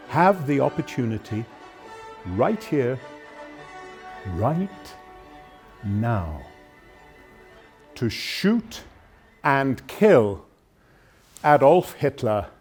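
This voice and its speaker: An actor playing a troubled, dutiful middle-aged public prosecutor and father, in an intense, deliberate theatrical monologue. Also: fairly low pitch, a little mellow, natural voice. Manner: intense, deliberate, with dramatic swells and drops.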